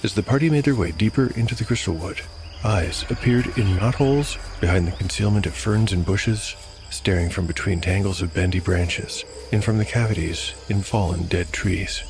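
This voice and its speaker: A man's voice: low voice, normal speed, noisy background. A man hosting an audio drama podcast, speaking in a scripted storytelling style. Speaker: host, narrator and game master of an audio drama podcast. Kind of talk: scripted fantasy storytelling narration.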